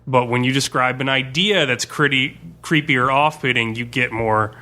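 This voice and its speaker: Guy game master just talking shop, bright punchy voice, swears a bit, super informal. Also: medium pitch, normal speed. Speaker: tabletop game master and podcast guest discussing his campaign. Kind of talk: casual chat.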